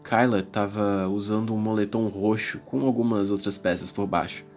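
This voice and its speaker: A man's voice: fairly low pitch, steady tone, normal speed, a little mellow, faint music behind, lightly acted. A man with a Brazilian accent playing an anxious yet eager young man narrating his adventure in a dramatized audiobook. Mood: reflective.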